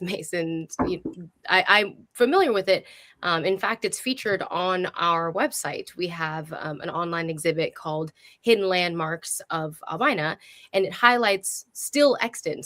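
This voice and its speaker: Woman, host, lower register, enthusiastic, informal, conversational. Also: a bit bright. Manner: effusive, enthusiastic. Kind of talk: casual, conversational hosting of an online history talk.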